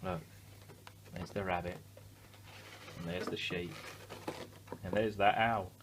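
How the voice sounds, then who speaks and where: A man's voice: sits low, steady tone, takes it slowly, creaky, noisy background. A man reading a picture book aloud to children expressively, adding simple chatty asides and questions for the young listeners. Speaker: children's story reader.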